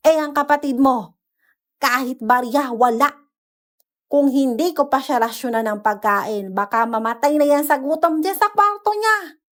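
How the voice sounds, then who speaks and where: A woman's voice: sits high, moderate pace, pitched above the performer's own voice. A woman voicing a scornful, scolding older mother in a dramatized story. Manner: sharp, scolding, exasperated. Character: scornful older mother nagging about her idle daughter.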